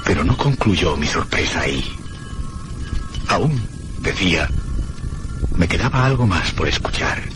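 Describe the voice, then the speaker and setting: Actor as a deep-voiced haunted gentleman narrator. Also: big pitch swings. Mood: awed, suspenseful. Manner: solemn, deliberate, theatrical. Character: haunted, solemn one-armed gentleman recounting his mystery. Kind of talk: dramatic first-person radio horror narration. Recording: radio play over clear background music.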